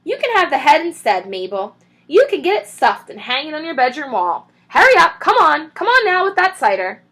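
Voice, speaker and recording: Casting: female reader as impatient lady of the house, scolding, bright high voice, storybook style. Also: sing-song, normal speed, full character voice. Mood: exasperated. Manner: sharp, commanding, scolding. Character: bossy, impatient woman of the house shouting downstairs.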